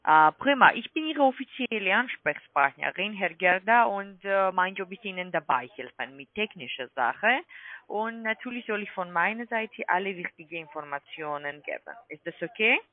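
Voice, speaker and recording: A woman with a foreign accent in German, a non-native speaker, making an insistent scripted sales pitch as a call-centre agent, with repeated tag questions. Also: lively intonation, moderate pace, no room sound. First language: not German. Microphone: phone call. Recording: muffled tinny phone line.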